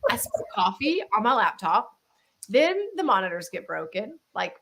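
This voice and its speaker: A woman's voice: mid-range voice, sing-song, moderate pace, fairly bright tone. A woman co-hosting a live business webinar, bantering playfully with her partner. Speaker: co-host.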